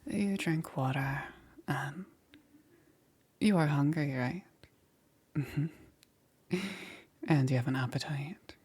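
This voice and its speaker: A woman playing a gentle witch caring for a stranger in a soothing ASMR roleplay, breathy and often dropping into a whisper. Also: low-pitched, full character voice. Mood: gentle, attentive. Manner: unhurried, soothing, checking in with short murmurs. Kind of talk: soft-spoken ASMR roleplay.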